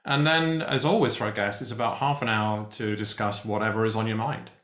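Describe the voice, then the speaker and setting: A man hosting a live interview show over a video call, talking in a relaxed way with many ums and pauses. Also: lowish voice. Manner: relaxed, hesitant with many fillers. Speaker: host. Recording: roomy echo on a video call.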